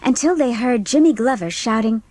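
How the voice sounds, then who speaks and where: A woman's voice: a little high, lively intonation, normal speed, darkish tone, dry recording. A woman reading scripted audiobook narration of a story as its narrator.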